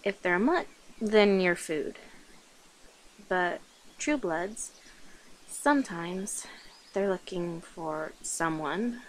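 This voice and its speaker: A woman playing a shy, playful and protective centuries-old vampire in an intimate ASMR roleplay, speaking softly and hesitantly. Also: fairly low pitch, expressive, slow, pauses often, a little hiss or hum. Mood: serious, explaining. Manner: hesitant, soft-spoken, breathy whispers, nervous giggles. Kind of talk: ASMR roleplay, intimate one-sided dialogue.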